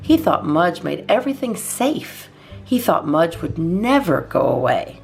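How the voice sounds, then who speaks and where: A woman narrates a children's storybook with a slightly low voice and a very animated, swooping melody. She phrases it deliberately, pausing between short lines. Soft guitar music plays behind her. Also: vocal fry. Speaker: storytime host reading a children's book aloud.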